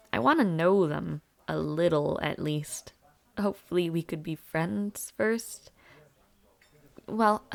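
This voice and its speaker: A woman's voice: lowish voice, vocal fry. A young woman playing a friendly university student chatting informally with her dormmate in an audio roleplay, gossipy and sometimes hesitant. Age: young adult. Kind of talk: casual conversational audio roleplay.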